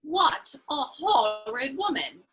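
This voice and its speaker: Woman playing a very high, bright young lady. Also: lively intonation, takes it slowly, full character voice. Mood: spirited, playful. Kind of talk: dramatic reading of a period stage comedy.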